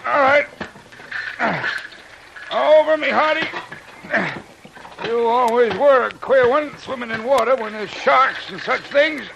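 A man's voice: very high voice, slow, gravelly, long pauses, highs cut off, exaggerated voice. A folksy deckhand from an old radio adventure. He grumbles and frets in rustic, superstitious talk. The recording is old and slightly noisy. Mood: excited, alarmed. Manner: complaining, rustic folksy speech. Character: grumbling, superstitious, folksy old-time sailor on night watch.

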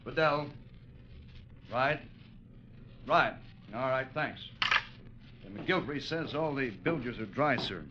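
An actor playing a guarded, dutiful ship's officer in an old radio drama, with a terse, understated delivery. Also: male voice, mid-range voice, expressive, slow, long pauses. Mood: respectful, businesslike. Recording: muffled, noisy vintage radio recording.

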